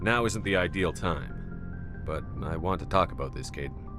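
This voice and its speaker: An actor playing a firm, guarded military commander, terse and direct. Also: male voice, sits low, animated, normal speed. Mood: guarded, calm. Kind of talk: game cutscene dialogue. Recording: music behind the voice.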